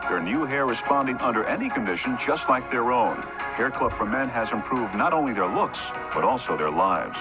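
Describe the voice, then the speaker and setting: Animated male ad announcer over loud music. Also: medium pitch, normal speed, rough recording. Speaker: commercial announcer. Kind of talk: scripted 1980s ad voice-over.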